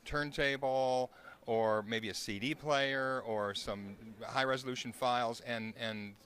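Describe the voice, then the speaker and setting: A man's voice: mid-range voice, steady tone, measured pace, a bit bright. A man hosting an on-location interview, putting questions to a guest. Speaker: interviewer, host.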